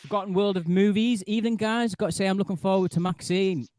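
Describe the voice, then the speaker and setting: A man's voice: high voice, steady tone, fast, darkish tone, no echo, studio quality. Man greeting livestream viewers. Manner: reading out chat names, greeting viewers. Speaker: podcast host. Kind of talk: casual livestream chat.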